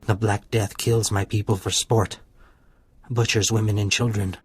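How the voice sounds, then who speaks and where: A man playing a grim, earnest alien helper in a video game, solemn and flat in delivery. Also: lowish voice, unhurried, husky, dry recording.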